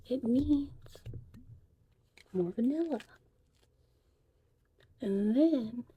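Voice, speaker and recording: A woman hosting a soft-spoken ASMR tutorial roleplay, chatting casually in a hushed voice that drifts in and out of whispers. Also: on the high side, a little hiss or hum. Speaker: ASMR creator and host.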